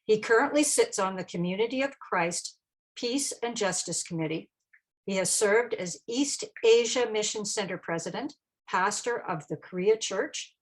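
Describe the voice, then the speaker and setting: A woman's voice: medium pitch, poor audio. Woman reading a speaker's introduction. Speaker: meeting moderator introducing the speaker. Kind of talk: reading an introduction, then informal remarks.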